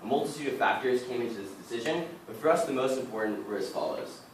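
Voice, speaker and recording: A teenage boy presenting a business case in a steady, measured, rehearsed way. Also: mid-range voice, slight background noise. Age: teens. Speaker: student presenter. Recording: roomy echo from far off.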